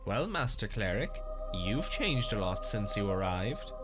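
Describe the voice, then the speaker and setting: Slow, sinister, fawning castle physician. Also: male voice, fairly low pitch, big pitch swings, music in the background. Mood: sly fascination. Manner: unctuous, deliberate, chuckling menace. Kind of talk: dark fantasy audio drama acting.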